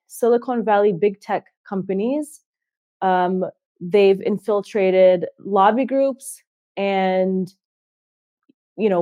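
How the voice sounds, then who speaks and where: A woman hosting a political interview, speaking quickly and indignantly. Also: mid-range voice, mellow, no room sound. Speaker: host. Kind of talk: fast political commentary.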